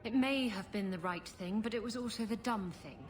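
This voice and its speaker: A brash, defiant rogue from a fantasy video game, acted by a woman. She speaks bluntly and irritably in a medium-pitched voice. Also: fairly quick, natural voice. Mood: defensive, irritated. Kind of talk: video game character acting.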